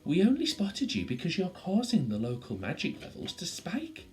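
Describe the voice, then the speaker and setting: A storyteller voicing a confident girl who leads her friends in a children's story, brisk, encouraging and commanding. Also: medium pitch, huge pitch swings, soft background music, pitched above the performer's own voice. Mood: tactful.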